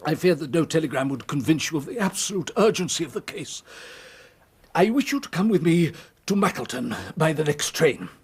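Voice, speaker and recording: A pompous, flustered male headmaster in a period radio drama. His voice is fairly high and husky, with very lively rises and falls, and his phrasing is formal and wordy. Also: a little fast, exaggerated voice. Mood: urgent. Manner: formal, verbose, agitated.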